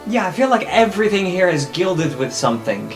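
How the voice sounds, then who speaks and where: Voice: mid-range voice, big pitch swings, brisk. A tabletop role-playing player improvising in character and describing the action casually. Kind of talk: improvised role-play chat. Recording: clear music behind the voice. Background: background music.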